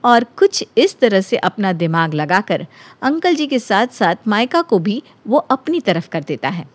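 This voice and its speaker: A woman narrating a scripted animated story as its storyteller. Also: medium pitch, unhurried, no echo.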